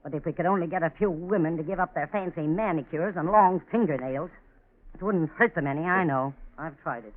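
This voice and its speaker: An actress playing a tough, stubborn middle-aged farm aunt, blunt and businesslike, in 1940s radio drama style. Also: female voice, low-pitched, brisk, close to an everyday voice. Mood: brisk, firm. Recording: muffled old 1940s radio recording.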